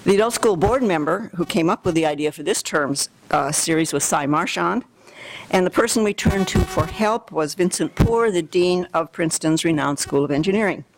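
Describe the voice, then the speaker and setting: A woman introducing a lecture series, reading a formal, measured prepared introduction in a lecture hall. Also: fairly low pitch, expressive, slight background noise.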